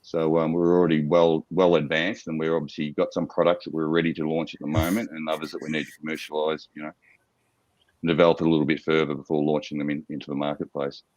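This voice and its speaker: Very deep-voiced Australian man, halting. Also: flat delivery, a little mellow, no room sound, lo-fi sound. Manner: halting, repeats words, frequent fillers. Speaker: startup founder pitching to investors. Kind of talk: business pitch Q&A.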